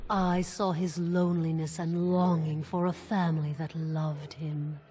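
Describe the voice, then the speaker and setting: A woman's voice: low voice, flat delivery, measured pace, dark tone, a little hiss or hum. A woman playing a possessive, seductive desire demon in a fantasy video game, silky and coldly defiant. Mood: cool, seductive.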